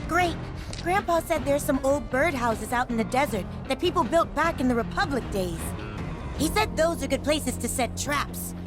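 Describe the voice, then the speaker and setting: A woman's voice: high-pitched, expressive, moderate pace. A woman voicing a helpful, eager young child in a childlike manner. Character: helpful young child passing on grandparent's advice. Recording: music behind the voice.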